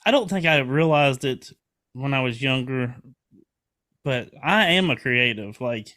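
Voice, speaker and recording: A man's voice: medium pitch, animated, a little slow, sharp tone. A man in his forties having a casual, earnest podcast conversation, colloquial and prone to swearing. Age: 40s.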